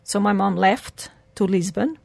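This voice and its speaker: A woman's voice: mid-range voice, unhurried, vocal fry, a little mellow, breathy edge, no room sound. A woman, telling her life story earnestly as an interview guest. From Portugal. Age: 40s. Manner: earnest, storytelling, slightly broken English phrasing.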